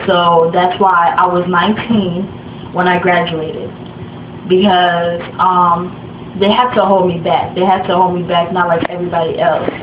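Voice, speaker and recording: A young woman from Africa whose first language is Swahili, telling her own story in a soft, halting way with many fillers. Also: fairly low pitch. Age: young adult. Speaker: interviewee. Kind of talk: personal testimony. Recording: echoey room, dull lo-fi sound, noisy background.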